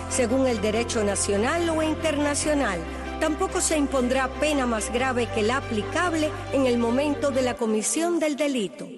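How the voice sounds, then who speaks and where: A woman giving a measured, formal reading of a legal text. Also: high voice.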